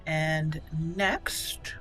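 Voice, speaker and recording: A woman's voice: low voice, fairly even intonation, drawn-out words, a bit bright. A woman hosting a let's-play video, commenting casually and talking to herself and the game characters as she plays. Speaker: gaming video host. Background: game music.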